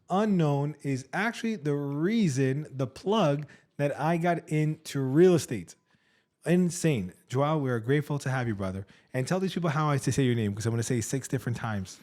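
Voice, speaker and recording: Man hosting a real estate podcast. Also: medium pitch. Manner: animated, asks questions. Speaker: podcast host. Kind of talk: casual interview.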